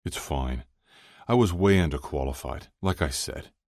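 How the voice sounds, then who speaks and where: Man as a wry, deep-voiced boyfriend. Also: normal speed, vocal fry, a little mellow, no echo, clean studio sound. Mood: teasing. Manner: drawling, teasing. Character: wry, teasing boyfriend of a sports star.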